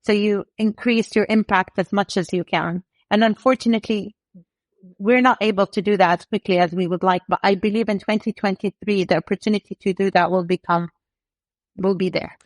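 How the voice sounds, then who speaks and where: A woman in middle age who grew up in the UK, speaking as an interview guest. She is confident and animated, with a mid-range voice recorded close and dry. She builds her points with vivid examples. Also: medium pitch, a little fast, no room sound, low-quality recording. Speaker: company co-founder, guest. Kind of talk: casual interview talk.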